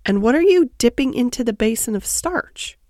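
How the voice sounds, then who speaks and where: Lady doing a curious little farm girl for a kids book, blunt questions, high n swoopy, really dry recording. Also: animated, moderate pace, dark tone, dry recording, lightly acted. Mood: excited, curious. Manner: inquisitive, direct. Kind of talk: children's storybook character voice.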